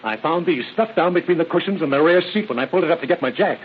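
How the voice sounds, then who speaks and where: Male actor, eager American patrol officer, rapid talk, radio drama, tinny, faintly hissy. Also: a little high, lively intonation, natural voice. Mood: eager, inquiring. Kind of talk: old-time radio acting. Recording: thin, faintly noisy old radio recording.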